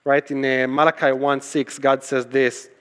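A man's voice: medium pitch, level tone, normal speed, a bit bright, clean tone. A male pastor whose native language is Hebrew delivers a sermon in a mid-range voice. He talks to his congregation conversationally, leaning on rhetorical questions and restating key phrases with emphasis. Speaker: pastor preaching to his church.

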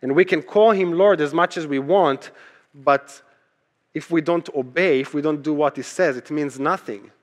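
A man's voice: a little high, normal speed. A man who is a native Hebrew speaker, preaching as a pastor in a conversational sermon style, emphatic, repeating key phrases and posing rhetorical questions. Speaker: pastor preaching to his church.

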